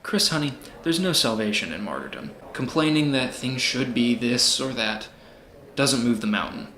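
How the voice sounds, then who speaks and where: A man playing a smug, philosophizing tycoon running for president in an audio drama, measured and condescending. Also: mid-range voice, lively intonation, sharp tone, roomy sound, a little hiss or hum. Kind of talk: amateur audio drama acting.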